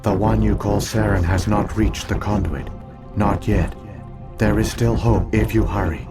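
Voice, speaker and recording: A man voicing an ancient alien artificial intelligence in a video game, speaking formally and deliberately with a calm, mournful, logical gravity. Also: fairly low pitch, over music. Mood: measured, informative. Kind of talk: measured expository video game acting.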